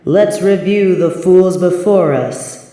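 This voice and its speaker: Haughty fallen angel, acted by a woman, slow-paced, imperious, pompous, archaic formal phrasing, audio drama. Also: fairly low pitch, dark tone, very echoey, poor audio. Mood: haughty, commanding. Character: haughty fallen female angel, imperious yet fond of her demon.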